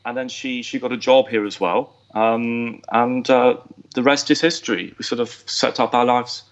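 A man's voice: medium pitch, fairly even intonation, normal speed. Man on a dull phone line. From Switzerland. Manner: chatty, self-deprecating, laughs easily. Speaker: guest, company co-founder. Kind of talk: phone-in radio interview.

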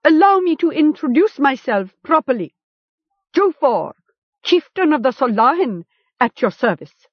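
A woman's voice: high-pitched, big pitch swings, slow, no echo, highs cut off, pitched above the performer's own voice, heavily acted. A woman voicing a mocking male chieftain in a storybook, theatrical and accusatory. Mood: mock courtesy. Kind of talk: storybook character acting.

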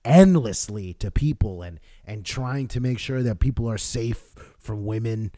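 A man from Florida who co-hosts a podcast in casual chat, with a raspy, hoarse voice from talking too much and a profane, rambling way of telling stories. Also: lowish voice, a little slow, dark tone. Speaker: podcast co-host.

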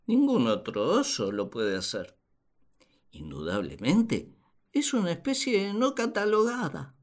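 An actor playing a naive, puzzled husband and father in a radio play, speaking in a deliberate, theatrical way. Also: fairly high pitch. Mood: puzzled, pitying. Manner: deliberate, theatrical, expressive delivery. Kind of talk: radio play monologue, dramatized diary.

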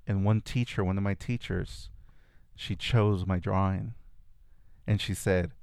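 A man's voice: sits low, steady tone, measured pace, creaky, a little mellow, dry recording, studio quality. A man whose first language is Spanish, a guest reflectively telling stories from his childhood in a casual conversation. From California. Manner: reflective storytelling with frequent restarts.

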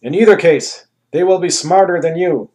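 A man's voice: on the high side, mellow, voice pushed up, lightly acted. A man voicing a pompous talking anus who thunders commands like a ruler in a comic story. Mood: grandiose. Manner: grandiose, slow, commanding declarations. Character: pompous talking male anus tyrant, booming.

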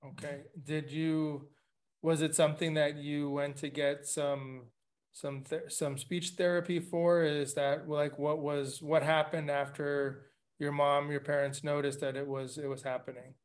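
A man's voice: fairly high pitch, flat delivery, a little slow. A middle-aged man from Latin America hosting an interview podcast from his living room over a video call, asking long questions with frequent restarts. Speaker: podcast host.

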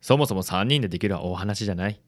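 A man's voice: lowish voice, quick pace, close to an everyday voice. A man voicing a suave, genteel businessman in a radio drama. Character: smooth, suave handsome businessman.

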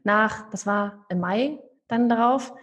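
A young woman talking casually as a podcast guest, lively and chatty with many fillers and restarts. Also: mid-range voice, slow. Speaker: podcast guest, online course creator.

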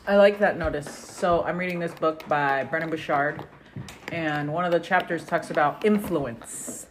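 A woman's voice: low-pitched, normal speed. A middle-aged woman hosting a casual family scripture discussion at home, asking questions and prompting her kids. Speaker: podcast host and parent. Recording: roomy home recording with background noise.

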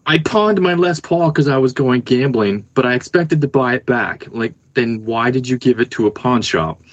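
A man's voice: mid-range voice, animated, normal speed, dry recording, muffled recording. A middle-aged man speaking casually as a guest in a podcast conversation. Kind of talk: casual chat.

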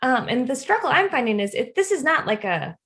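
A woman's voice: high voice, sing-song, a little fast, a bit bright. A woman co-hosting a faith podcast, chatting casually and expressively with short interjections and asides. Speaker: podcast co-host.

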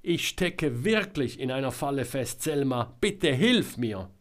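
A man voicing a trapped, desperate man in a storybook, pleading loudly for help in drawn-out, strained calls. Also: mid-range voice, lively intonation, pitched above the performer's own voice, lightly acted. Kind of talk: dramatic audiobook character voice.

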